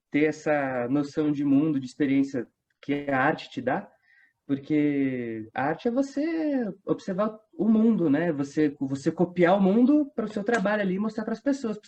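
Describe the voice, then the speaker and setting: A man's voice: on the high side, expressive, a little slow, dark tone. Young São Paulo man, dull lo-fi audio. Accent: Brazilian, São Paulo state. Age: 20s. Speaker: theatre company actor, interview guest. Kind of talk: casual interview chat.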